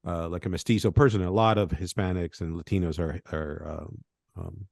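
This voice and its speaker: A man's voice: sits low, steady tone, a little fast, gravelly, a little mellow, dry recording, clean studio sound. A man from Venezuela, a game designer talking loosely in a podcast interview, often tagging thoughts with "right?". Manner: rambling, tag questions like "right?".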